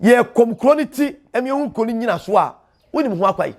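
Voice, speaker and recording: A man's voice: very high-pitched, animated, fast. A Ghanaian man hosting a storytelling show, teaching in an impassioned, emphatic monologue full of proverbs and repeated phrases. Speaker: host and traditional storyteller.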